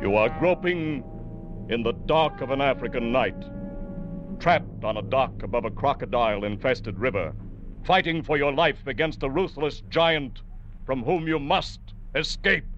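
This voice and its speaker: An actor delivering an urgent, ominous teaser for a radio adventure serial. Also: male voice, medium pitch, unhurried, natural voice. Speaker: promo announcer. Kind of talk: dramatic 1940s-50s radio teaser. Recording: muffled old radio broadcast. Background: loud dramatic music.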